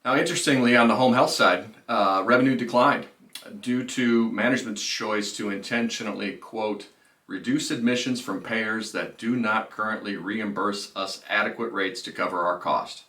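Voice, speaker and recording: A man's voice: fairly low pitch, normal speed, sharp tone. A man giving businesslike financial commentary as a presenter, stressing key phrases in an informal way. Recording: roomy echo, distant microphone.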